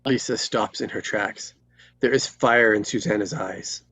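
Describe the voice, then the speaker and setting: A man's voice: fairly low pitch, a little slow, bright, forceful tone. A man reading stage directions as scripted narration at a live table read.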